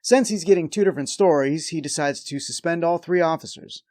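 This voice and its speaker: Guy hosting a recap show, wry and sarcastic, slightly high voice, very dry sound. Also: fairly quick, darkish tone, no room sound. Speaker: review show host. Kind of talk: scripted comedic recap narration.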